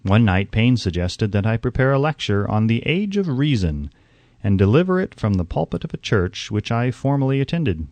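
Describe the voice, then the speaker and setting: A man reading an audiobook in a measured, deliberate storytelling manner. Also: medium pitch, big pitch swings, darkish tone, no echo. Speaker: audiobook narrator. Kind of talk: scripted audiobook narration.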